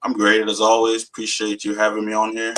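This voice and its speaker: A man's voice: on the low side, completely flat delivery, fairly quick, fairly bright tone, a little hiss or hum, rough recording. A man from Saint Vincent with a Vincentian accent tinged with Jamaican, chatting as an easygoing, upbeat interview guest. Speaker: interview guest, army sergeant. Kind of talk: casual interview chat.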